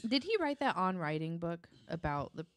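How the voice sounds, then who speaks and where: Low-voiced woman chatting casually, dry close sound. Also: sing-song, normal speed, no echo. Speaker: podcast co-host. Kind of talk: casual podcast chat.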